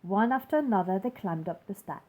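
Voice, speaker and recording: A woman reading a rhyming storybook aloud to children in an expressive story-time style. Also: mid-range voice, a little fast.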